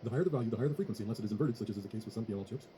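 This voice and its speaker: Guy reading a tech tutorial super fast, really dark muffled tone, formal and methodical, slight noise. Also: lowish voice. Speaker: tutorial presenter. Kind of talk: scripted technical tutorial narration.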